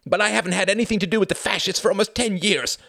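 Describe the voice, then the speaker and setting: A man's voice: high-pitched, fairly quick, vocal fry, fairly bright tone, no room sound, studio quality, voice pushed up, full character voice. A man voicing an indignant, pleading German count in an audiobook, with spluttering, stammering protests. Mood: indignant, incredulous. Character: indignant, pleading German count, cowed aristocrat and war veteran. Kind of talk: audiobook character acting.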